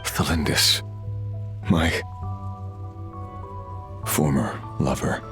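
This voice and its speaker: A resurrected warrior corroded by darkness speaks from the very bottom of the vocal range. The delivery is slow and grave, weighted with weary remorse and halting pauses. It is fantasy game drama, with music clearly playing behind. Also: male voice, very deep voice, steady tone, fairly bright tone, full character voice. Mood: wistful, sorrowful. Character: resurrected male abyss-corroded warrior, sombre, weary, remorseful former villain. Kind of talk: dramatic video game dialogue.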